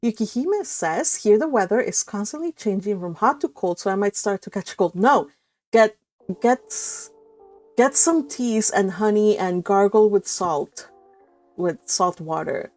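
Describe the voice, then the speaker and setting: A woman hosts a casual livestream with a chatty, animated delivery. Her voice sits in a middle register and she teases as she goes. Music plays softly behind her. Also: mid-range voice, a little slow, no echo. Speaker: host of a live read-along stream.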